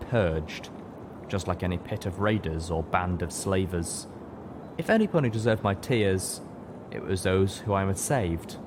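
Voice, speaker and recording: A man's voice: deep voice, background noise. A man reading a first-person story aloud as scripted narration. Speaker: audiobook reader.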